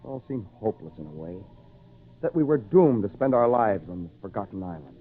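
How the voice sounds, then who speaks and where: An actor playing an earnest castaway adventurer, dramatic and urgent, in old time radio narration style. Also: male voice, on the low side, big pitch swings, fairly quick, soft background music. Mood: suspenseful. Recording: tinny muffled old radio recording.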